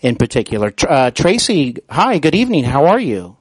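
A man hosting an interview show, speaking earnestly in a halting way as he introduces and questions his guest. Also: medium pitch, sing-song, breathy edge, dry recording. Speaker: podcast host.

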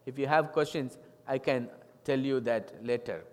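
A man's voice: medium pitch, moderate pace, a little mellow. A man with an Indian accent, a native Tamil speaker, giving a testimony sermon as a guest pastor, telling his story earnestly in simple English with some non-native grammar. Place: church sanctuary. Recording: long reverb of a church hall.

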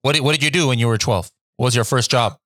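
Man, podcast host, conversational, informal, medium pitch, dry recording. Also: quick pace, sharp tone, no echo.

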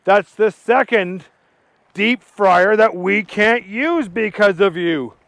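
A stern, exasperated middle-aged fast-food manager who scolds in a measured, slow way, as a man plays him in a storybook. His voice sits fairly high and the recording is dry. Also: a bit bright, dry recording, heavily acted. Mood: calm.

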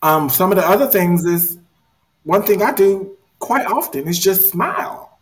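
A man leading a casual motivational discussion, earnest and animated, often repeating words as he thinks. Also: high voice, measured pace.

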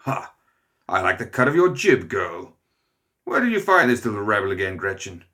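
A man voicing a gruff, boisterous older aristocrat hunter who barks and growls his lines. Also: on the low side, big pitch swings, brisk, sharp tone. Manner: barking, growling.

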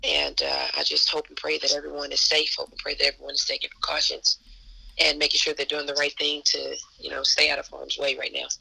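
A hoarse woman, an assistant basketball coach, answering interview questions earnestly and repeating phrases for emphasis. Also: deep voice, fairly quick, sharp tone, rough recording.

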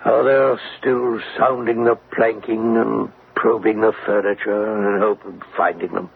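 A man's voice: fairly low pitch, little pitch movement, a little slow, vocal fry. An actor playing a stern, troubled older banker in a classic radio drama, formal and emphatic in his speech. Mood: despairing. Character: anguished, stern, proud older banker father. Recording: tinny, noisy old radio recording.

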